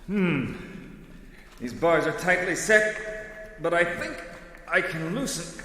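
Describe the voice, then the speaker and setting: Radio serial hero boss, very echoey hall, lively pitch swings, formal and precise, calm take-charge type. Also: male voice, takes it slowly, a little hiss or hum, full character voice. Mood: strained, determined. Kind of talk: old-time radio adventure serial acting.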